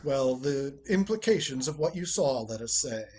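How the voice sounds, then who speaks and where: A man playing a wry, unflappable gentleman in a radio play, dry and teasing. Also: medium pitch, animated, moderate pace, husky. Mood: dry, calm.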